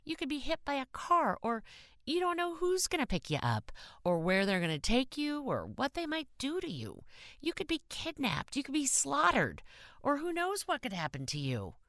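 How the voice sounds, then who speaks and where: A woman acts out a lonely young divorced woman from an audiobook short story. She is bossy and worried, scolding with sharp questions. Her pitch climbs high and swings widely, in a dry, close recording. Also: wildly swooping pitch, normal speed, no room sound, heavily acted. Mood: stern alarm. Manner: stern, bossy, interrogating. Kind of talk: audiobook character dialogue.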